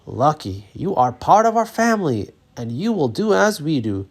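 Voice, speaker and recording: Storybook raccoon pop, read by a man: stern head of the family, commanding, lively intonation. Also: on the high side, normal speed, voice pushed up. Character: stern father raccoon heading the family business.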